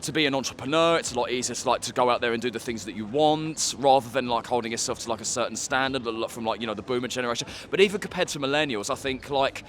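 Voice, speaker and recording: Fast-talking young man, filler-heavy. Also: medium pitch, fairly bright tone. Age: 20s. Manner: earnest, peppered with filler "like". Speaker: street interviewee. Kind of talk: casual opinion talk to camera.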